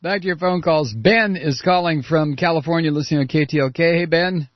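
Male call-in radio host, combative and sarcastic, fairly high voice, dry close studio sound. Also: brisk, no room sound, highs cut off. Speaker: radio talk show host. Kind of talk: political call-in radio talk.

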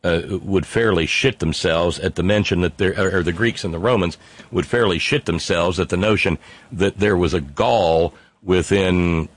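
Man, very low voice, dry close sound, wry, rambling, reads chat live. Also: normal speed, dry recording. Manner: rambling, wry, lots of fillers and restarts. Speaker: livestream host reading chat. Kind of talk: casual unscripted monologue.

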